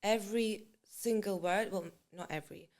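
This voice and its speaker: A woman actress being interviewed, speaking English in a relaxed, halting way and slipping in Serbian words. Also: medium pitch. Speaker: actress, guest. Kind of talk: casual interview chat.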